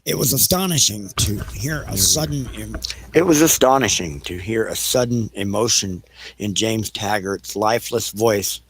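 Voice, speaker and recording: A man reading scripted narration for an amateur audio drama, halting and repeating phrases as retakes. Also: fairly low pitch, husky, poor audio.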